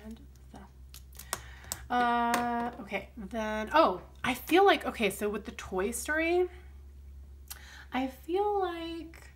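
A woman, chatting casually while planning. Her voice is strained and crackly, sometimes dropping deep, and she rambles between soft asides. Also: lively intonation, takes it slowly, pauses often. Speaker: planner vlogger. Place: home desk, camera overhead on planner.

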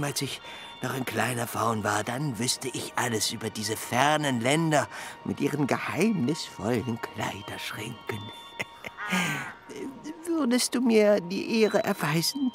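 A timid male faun from a storybook audio drama, excitable and very courteous. His voice swoops widely and moves at a slow, hesitant pace, stammering as it goes. Music plays softly behind him. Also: on the high side. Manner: stammering, hesitant, flustered, polite.

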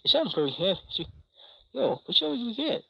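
A woman voicing an excitable cartoon character in a muffled, noisy recording. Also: on the high side, moderate pace, gravelly, slightly rough, no room sound, heavily acted. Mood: chattering. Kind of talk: cartoon dialogue. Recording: muffled lo-fi audio, loud noise.

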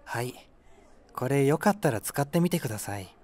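A man's voice: mid-range voice, expressive, normal speed, darkish tone, lightly acted. A man playing an earnest, mild, slightly airheaded young product developer who speaks politely and unassumingly. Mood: helpful. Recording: faint music behind the voice.

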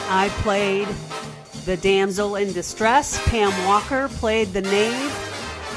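A woman presenting a theatre company's closing announcement and cast credits. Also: mid-range voice. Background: music.

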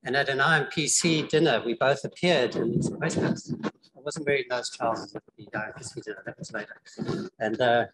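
A man's voice: medium pitch, moderate pace, fairly bright tone, slight background noise, rough recording. An older man giving an informal lecture in a lecture theatre, chatty and rambling with frequent restarts. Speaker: guest lecturer.

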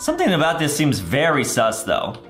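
A man in his twenties hosting excitable gameplay commentary for kids, with exaggerated, theatrical reactions. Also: a little high, roomy sound, over music. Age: 20s. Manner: exaggerated, theatrical reactions with shouts and drawn-out words. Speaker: gaming video host. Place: indoor room with bare walls.

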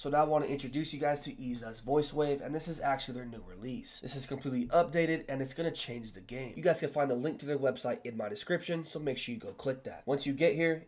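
A man's voice: medium pitch, a little mellow. A young man giving an upbeat, salesy product walkthrough as a YouTube reviewer. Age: young adult. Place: small home gaming room.

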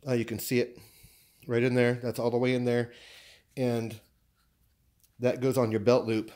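A man with an American accent chatting casually and haltingly, thinking aloud as he shows off purchases in a living room. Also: medium pitch, completely flat delivery, a little mellow. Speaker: vlogger showing purchases. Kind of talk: casual unboxing chat.

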